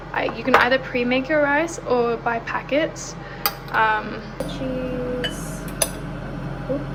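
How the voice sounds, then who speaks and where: A young woman talking casually through her cooking with a slightly hoarse, croaky voice, full of "like" fillers. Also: fairly high pitch, very noisy. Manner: chatty, lots of "like" fillers. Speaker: vlogger.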